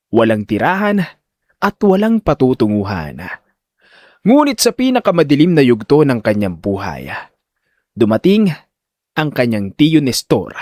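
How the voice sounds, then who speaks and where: A man's voice: mid-range voice, darkish tone, dry recording, studio quality. A man narrating a scripted audio drama in a dramatic storytelling style.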